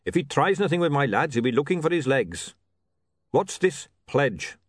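Guy voicing a blunt dwarf boss, gruff, lively pitch, dry. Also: moderate pace, dry recording. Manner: blunt, threatening.

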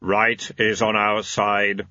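A man playing a solemn supernatural horseman in a storybook, with slow, weighty, deadpan delivery. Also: lowish voice, no room sound, lo-fi sound.

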